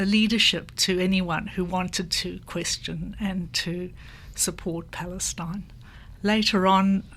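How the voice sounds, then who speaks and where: Older lady who grew up in south africa, lowish voice, slow reflective, lots of ums. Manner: reflective, measured, with pauses. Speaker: panel guest. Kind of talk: personal testimony in a panel discussion.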